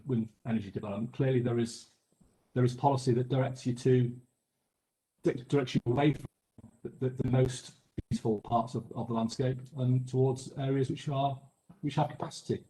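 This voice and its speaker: A man's voice: medium pitch, fairly even intonation, mellow, reverberant, poor audio. Hesitant man giving expert testimony. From England. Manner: measured, hesitant with frequent restarts. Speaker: expert witness. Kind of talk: inquiry testimony.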